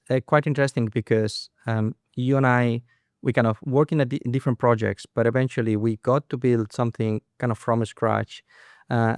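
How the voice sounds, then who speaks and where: Gravelly-voiced man hosting a casual podcast. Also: medium pitch, darkish tone, no echo, studio quality. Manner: hesitant, many fillers and restarts. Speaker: co-founder and CEO, podcast host. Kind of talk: casual conversational podcast chat.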